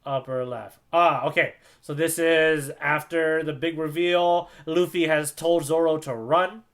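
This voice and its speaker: A man with a somewhat high voice co-hosts a comics podcast. His delivery is casual and playful, like joking around with a friend. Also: fairly even intonation, measured pace. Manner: jokey, playful. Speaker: podcast co-host. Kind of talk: casual chat about manga panels.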